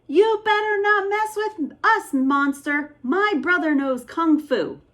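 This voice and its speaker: A woman's voice: extremely high pitch, takes it slowly, a bit bright, pitched above the performer's own voice, full character voice. A woman voicing plucky young brothers in a children's storybook, with an exclamatory manner. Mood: bluffing.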